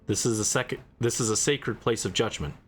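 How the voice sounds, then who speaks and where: A man's voice: lowish voice, slight background noise, close to an everyday voice. A man voicing a stern, solemn judge presiding over a trial in a video game. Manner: solemn, formal, measured.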